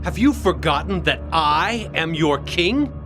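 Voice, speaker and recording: A man's voice: fairly high pitch, lively intonation, a little slow. A man playing a noble, defiant heroic king in a video game, earnest and commanding. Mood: defiant, urgent. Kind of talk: video game cutscene acting. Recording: loud game music behind.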